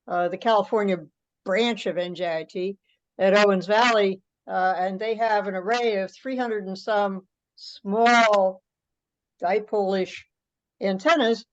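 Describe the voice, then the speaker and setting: Female academic, casual technical chat, medium pitch, muffled teleconference sound. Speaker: academic in a group call. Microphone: teleconference call. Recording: dull lo-fi conference call audio.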